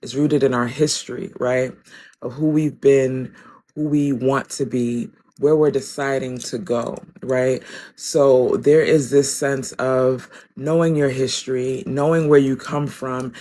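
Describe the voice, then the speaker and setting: A woman with a raspy, deeper-than-usual voice, chatting loosely through an oracle card reading on a phone livestream. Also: steady tone, a little slow, warm tone. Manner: loose, rambling, with many ums and asides. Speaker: tarot and oracle reader.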